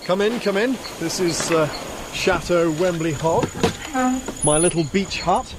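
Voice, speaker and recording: A man acts out a well-schooled young English man in a comedy drama, naive and painfully polite. His fairly high voice halts and stammers its way through apologies. Clear background noise sits behind him. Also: close to an everyday voice. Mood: flustered, awkward. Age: young adult. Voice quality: halting, stammering. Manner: bumbling, apologetic.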